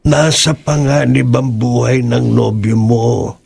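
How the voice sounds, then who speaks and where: Audio drama role: middle-aged father, husky, slow-paced, concerned and steady. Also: mid-range voice, heavily acted. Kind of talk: horror audio drama acting.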